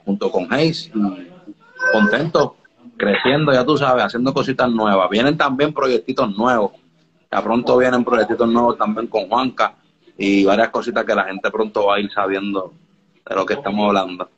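A man's voice: fairly low pitch, normal speed, lo-fi sound, a crowd in the background. A man from the Dominican Republic, a music producer guest in a casual interview, talking in a laid-back way and often checking that the listener follows. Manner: laid-back, keeps asking "you get me?".

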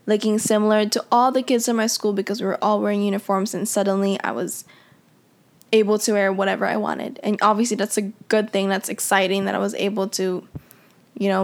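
A woman's voice: medium pitch, level tone, fairly quick. A young woman who grew up in the Philippines, reflecting in a casual, conversational way with frequent fillers as she tells her own story. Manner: reflective, conversational, many "you know" fillers. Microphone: audio only, unseen.

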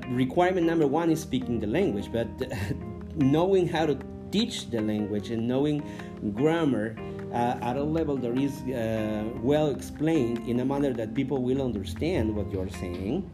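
A man whose first language is Spanish, an English teacher and coordinator, talking to camera, thoughtful and full of "uh" pauses. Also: mid-range voice. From Mexico. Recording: guitar music playing under the voice. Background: guitar music.

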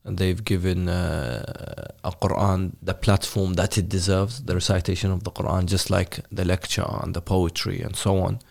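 A very low-voiced man with a gravelly edge asks questions in a loose, conversational way. He often stops and restarts his sentences, circling around his point before landing on it. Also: little pitch movement, a little mellow. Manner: halting, many restarts and fillers. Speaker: interviewer. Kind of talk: casual interview.

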